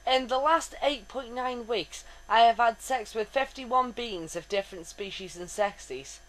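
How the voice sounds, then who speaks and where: A woman's voice: a little high, a little slow, fairly bright tone, low-quality recording. A woman voicing a formal, stiff male android officer who speaks precisely, without contractions.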